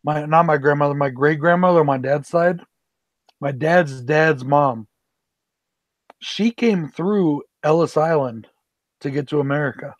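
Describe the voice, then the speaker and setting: A man from Denver hosting a casual group chat with friends, talkative and quick to cut in with questions. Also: on the high side, no echo, dull audio. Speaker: host.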